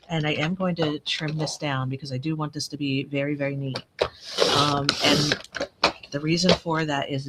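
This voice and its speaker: A woman with a raspy, allergy-worn voice, rambling and correcting herself as she talks through a live crafting tutorial at her desk. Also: low-pitched, heavy background noise. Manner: chatty, rambling, self-correcting. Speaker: craft channel host.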